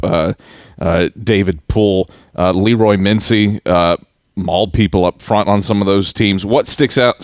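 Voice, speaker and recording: Male interviewer, low voice, dry sound, casual and slightly halting delivery with repeated words. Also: dry recording. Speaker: podcast host interviewer.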